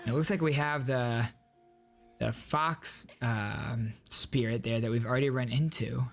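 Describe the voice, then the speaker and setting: A man's voice: mid-range voice, fairly even intonation, measured pace, soft background music. A man hosting a gaming channel, giving enthusiastic, casual reaction commentary and reading out game details. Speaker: gaming YouTuber host.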